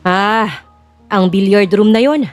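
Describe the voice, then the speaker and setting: A woman's voice: mid-range voice, slow pace, no echo, soft background music, natural voice. A woman playing a greedy, haughty middle-aged mother in an audio drama, with a scornful, theatrical delivery. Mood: smug scheming. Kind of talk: audio drama character acting.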